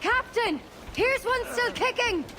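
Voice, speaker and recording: A woman playing a brisk pirate quartermaster in a video game, calling out across a deck over music. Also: very high voice, lively intonation, noisy background, heavily acted. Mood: urgent, commanding. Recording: loud music behind the voice.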